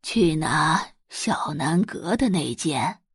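A woman voicing an elderly, doting and commanding matriarch of a rich family in an audio drama, with slow, drawn-out, stately speech. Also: low-pitched, expressive, breathy edge, no echo, clean studio sound, voice pushed down, full character voice. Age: older.

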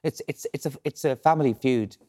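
An Irish man, a political journalist, analysing events in a measured way on a radio panel. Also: medium pitch, a little mellow. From Ireland. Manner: measured, analytical, with frequent restarts.

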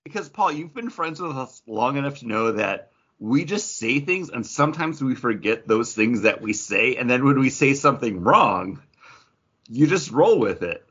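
Bright-voiced, animated man hosting a podcast. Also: medium pitch, normal speed, husky, muffled recording. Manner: chatty, joking. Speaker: podcast host. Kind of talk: casual banter on a comics podcast.

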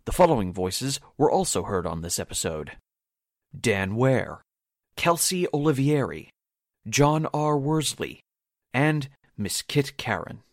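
A man's voice: mid-range voice, big pitch swings, measured pace, dry recording, clean studio sound. A man announcing in a scripted, courteous and formal way, as the presenter of a radio drama. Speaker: announcer and cast member.

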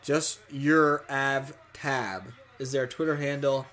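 A man co-hosting a casual podcast, reading listener messages aloud with upbeat shout-outs and easy laughter. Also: mid-range voice, steady tone, takes it slowly. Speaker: podcast co-host.

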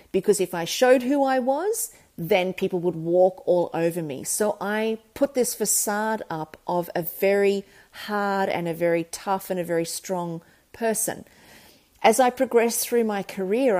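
A woman's voice: medium pitch, darkish tone. A woman from South Africa hosting a podcast, telling her life story in a candid, reflective and earnest way. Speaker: podcast host. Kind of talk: personal storytelling monologue.

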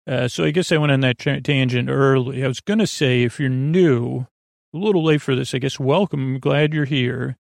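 A man hosting a podcast in a whimsical, meandering monologue, halting and often repeating or correcting himself, with a creaky, gravelly voice. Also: medium pitch, dry recording, studio quality. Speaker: podcast host. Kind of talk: rambling, whimsical bedtime monologue.

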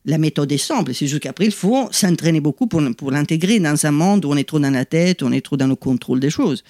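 A middle-aged Italian woman talks in French with a clear Italian accent, since it is not her first language. She explains in a lively, animated way, breaking off to restart and checking in with her listener. The room sound is dry, with no echo. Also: low-pitched, brisk. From Italy. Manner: animated and explanatory, frequent "tu vois" asides and restarts. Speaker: guest, lithotherapist. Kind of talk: conversational interview explanation.

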